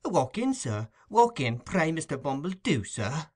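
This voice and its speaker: A man putting on a shrill fawning old-fashioned nurse woman. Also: sing-song, measured pace, a little mellow, slightly rough, exaggerated voice. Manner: gushing, wheedling, shrill. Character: fawning scheming middle-aged woman nurse.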